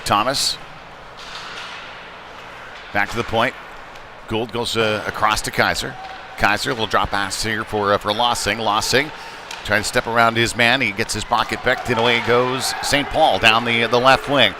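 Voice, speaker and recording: A man giving live play-by-play commentary on ice hockey in a halting way, with frequent pauses. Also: lowish voice, steady tone. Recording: noisy live rink broadcast.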